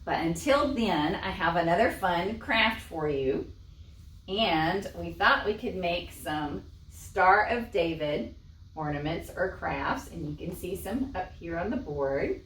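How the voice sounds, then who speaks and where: A woman's voice: fairly low pitch, lively intonation, takes it slowly, fairly bright tone, slight background noise. A woman presenting a library storytime, reading and explaining crafts expressively for young children. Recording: echoey room, distant microphone.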